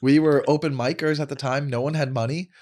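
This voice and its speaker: A man's voice: fairly high pitch, fairly even intonation, fairly quick, darkish tone, a little hiss or hum. A man in his forties, a comedy podcast host, chatting casually and repeating words as he starts his sentences. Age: 40s. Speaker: stand-up comedian. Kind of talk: casual banter.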